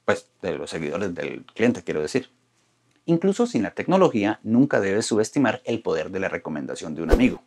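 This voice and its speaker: A middle-aged man with a low, gravelly, slightly husky voice walks through a marketing lesson straight to camera. He speaks with wide swings in pitch and gestures freely, punching each new point. The sound is a clean studio take with a hidden mic. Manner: lively, gesturing presenter with rising stresses on list points. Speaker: marketing presenter. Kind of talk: explainer video to camera. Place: studio in front of a green backdrop.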